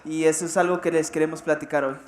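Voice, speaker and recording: A young man speaks in a flat, mid-pitched voice. His recording has a roomy echo and a faint hiss behind it. He talks in an earnest, straightforward way. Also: fast talker. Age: 20s. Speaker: guest on a church TV program.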